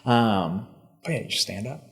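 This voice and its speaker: Laid-back podcast host, lively low voice. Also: male voice, normal speed. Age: 30s. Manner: laid-back, slangy, trailing fillers. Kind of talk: casual chat.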